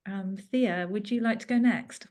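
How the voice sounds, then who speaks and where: A woman's voice: mid-range voice, moderate pace, a little mellow. A woman moderating a panel, speaking in a friendly way with many fillers.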